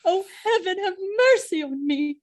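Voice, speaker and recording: An actress playing an innocent, devoted and frightened young wife in a Shakespearean audio drama, speaking verse in an earnest, pleading way. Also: female voice, extremely high pitch, normal speed. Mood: tearful pleading.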